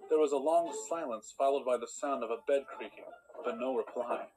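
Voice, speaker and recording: A man's voice: mid-range voice, brisk, a little mellow, low-quality recording. A man narrating a scripted fairy tale in storybook style.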